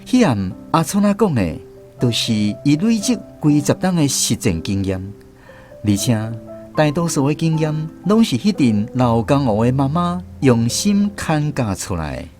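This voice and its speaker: A man's voice: mid-range voice, very animated, measured pace, darkish tone. An actor with a Taiwanese accent narrating a radio drama as a man recalling his army days, in a storytelling manner. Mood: reflective storytelling. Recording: music behind the voice.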